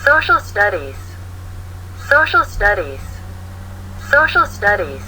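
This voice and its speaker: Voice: mid-range voice, big pitch swings, slow, bright, forceful tone, a little hiss or hum, poor audio. A textbook audio narrator reading school subjects aloud, saying each word three times in a listen-and-repeat drill.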